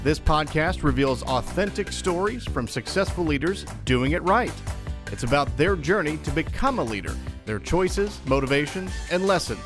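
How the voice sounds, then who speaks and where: A man's voice: a little high, expressive, moderate pace. A man reading a scripted podcast introduction as the announcer. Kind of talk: scripted promotional intro narration. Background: prominent music bed.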